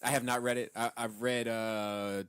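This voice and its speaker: A man's voice: medium pitch, level tone, moderate pace, fairly bright tone, clean tone. A man co-hosting a geek-culture podcast, chatting informally and swearing freely. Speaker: podcast co-host.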